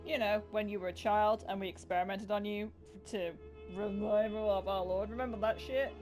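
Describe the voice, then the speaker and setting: A woman's voice: medium pitch, steady tone, fairly quick, darkish tone. A woman streaming a game with casual, blunt, sarcastic commentary and swearing, with game music behind her. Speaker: gaming streamer.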